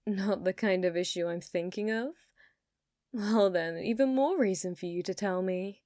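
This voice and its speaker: A woman's voice: mid-range voice, normal speed, mellow, dry recording, clean studio sound, natural voice. A woman voicing an ancient, possessive female yokai in an intimate ASMR roleplay, coyly sweet yet menacing, with a teasing manner that often drops toward a whisper. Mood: teasing, curious.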